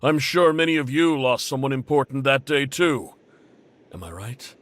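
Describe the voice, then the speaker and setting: A man's voice: mid-range voice, expressive, moderate pace, fairly bright tone, no echo, lightly acted. A man voicing a bitter, manipulative faction leader in an anime game, theatrical and full of pointed questions. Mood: rousing, bitter.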